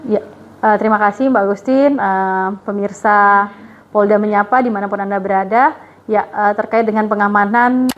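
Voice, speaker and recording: A woman's voice: medium pitch, steady tone, normal speed, dull audio, a little hiss or hum. A woman coaching trainees to read the news, in a casual, chatty way with many fillers and restarts.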